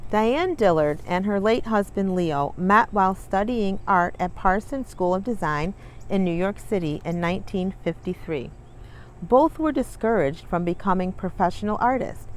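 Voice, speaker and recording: A woman's voice: medium pitch, slight background noise. A woman hosting a children's read-along, reading aloud and talking to viewers in an encouraging way. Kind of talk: storybook read-aloud with warm asides to viewers. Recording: music behind the voice. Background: background music.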